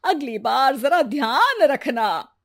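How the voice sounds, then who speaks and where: A woman acts out a middle-aged Indian mother-in-law with a high-pitched voice and a slow, drawn-out delivery. She nags and wheedles theatrically, as in a domestic family cartoon. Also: big pitch swings, heavily acted. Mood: grumbling. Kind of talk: acted Hindi family story cartoon.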